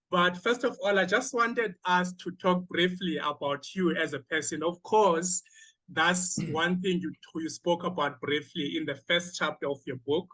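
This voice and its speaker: Measured man hosting a podcast. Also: on the high side, fairly bright tone, rough recording. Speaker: podcast host. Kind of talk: interview questions.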